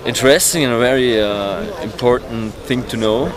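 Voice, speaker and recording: An Austrian man with a German-speaking accent, answering a street interview in halting English full of fillers as he searches for words. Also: mid-range voice, a crowd in the background. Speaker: protester.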